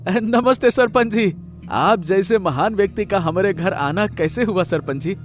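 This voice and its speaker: Humble donkey owner for animated tale, male actor: high-pitched, nervous, deferential, hesitant. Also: measured pace, faint music behind. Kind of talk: cartoon story dialogue.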